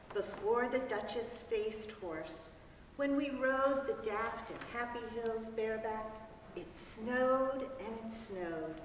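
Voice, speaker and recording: Woman, measured storyteller, high bright voice, reverberant hall with a distant mic. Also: slight background noise. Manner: slow, measured, deliberate reading. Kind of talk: dramatic reading of a story.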